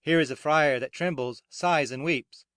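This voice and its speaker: Brisk, higher-voiced watchman played by a man. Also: fairly bright tone, clear, resonant tone, dry recording, clean studio sound, natural voice. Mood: suspicious report. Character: brisk, dutiful male night watchman. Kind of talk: theatrical Shakespearean audiobook acting.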